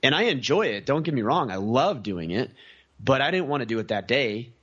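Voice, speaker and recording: A man's voice: mid-range voice, animated, a little fast, fairly bright tone, breathy edge, dull audio. A man talking as a podcast guest in a casual interview, earnest and piling up lists of examples. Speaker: podcast guest, community founder.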